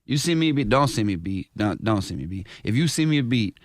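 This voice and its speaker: A man, a rapper, chatting casually in an interview, animated and full of slang, with a higher-range voice. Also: quick pace, dry recording, clean studio sound. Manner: animated, slangy, repeats himself. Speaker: rapper guest.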